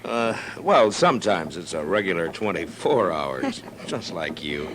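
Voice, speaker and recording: An actor playing a watchful, lonely frontier marshal with a dry, understated manner in a 1950s radio western. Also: male voice, sits low, very animated, a little slow. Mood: wry, teasing. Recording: noisy old-time radio recording.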